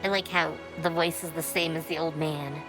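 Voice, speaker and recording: A woman's voice: low voice, fairly even intonation, normal speed, rough recording. A woman giving casual commentary as she streams a video game. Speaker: let's play streamer. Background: game music.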